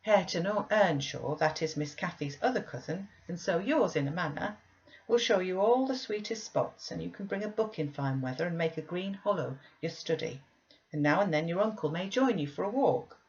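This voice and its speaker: A woman's voice: on the low side, animated, normal speed, a little mellow, dull audio. A woman playing a reassuring woman servant who coaxes a child, in an audiobook reading. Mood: patient, encouraging.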